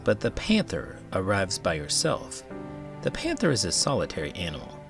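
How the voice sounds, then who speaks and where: A man reading a children's picture book aloud in an expressive, dramatic way, as a host would for young listeners. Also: low voice, normal speed, gravelly, music in the background. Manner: expressive, dramatic delivery with big emotions. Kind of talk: animated read-aloud narration for children.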